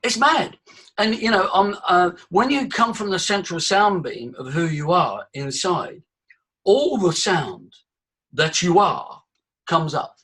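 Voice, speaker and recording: Male voice coach, husky tone, fairly high-pitched, demonstrative and breathy. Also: lively intonation, slow, sharp tone. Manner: breathy, demonstrative, leads vocal exercises. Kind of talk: live workshop teaching.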